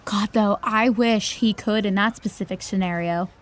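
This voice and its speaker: A woman playing a blunt, starstruck high school senior girl in a naturalistic audio drama, with chatty, casual teen speech. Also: moderate pace, lightly acted. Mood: dry, teasing.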